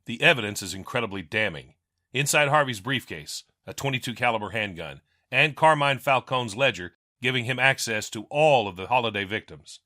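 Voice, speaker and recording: A man's voice: medium pitch, expressive, fairly quick, sharp tone, no room sound, studio quality. A man voicing an earnest, troubled police captain with an urgent manner in a crime drama. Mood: grave, pressing. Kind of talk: animated crime drama acting.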